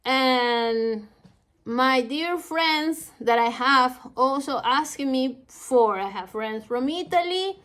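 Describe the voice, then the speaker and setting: A woman with a Spanish accent, a native Spanish speaker, giving a casual introduction to her language lessons with many pauses and fillers. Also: high voice, expressive, a bit bright. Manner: halting, many fillers and restarts. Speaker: Dutch language teacher.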